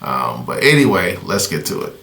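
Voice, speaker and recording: A man's voice: low-pitched, huge pitch swings, normal speed, creaky, heavy background noise. A man hosting a music reaction, talking casually and profanely, rambling with fillers. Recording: echoey room.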